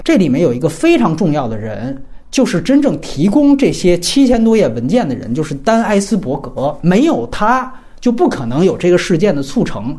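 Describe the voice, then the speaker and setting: A man's voice: high voice, darkish tone. A man hosting a film discussion, giving his opinions analytically and emphatically, explaining point by point. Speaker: film podcast host.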